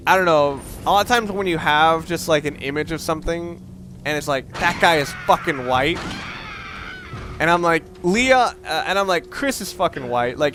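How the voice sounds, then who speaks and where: A man's voice: a little high, a bit bright. A man giving casual, unscripted video game commentary in a rambling, sweary, slangy way. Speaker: let's play commentator. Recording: clear background noise.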